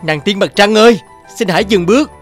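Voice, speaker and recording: A man's voice: sits high, brisk, soft background music, close to an everyday voice. A man voicing a simple, greedy, easily amazed young peasant with an exclamatory manner in a children's cartoon fairy tale. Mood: eager. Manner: exclamatory, muttering, wondering.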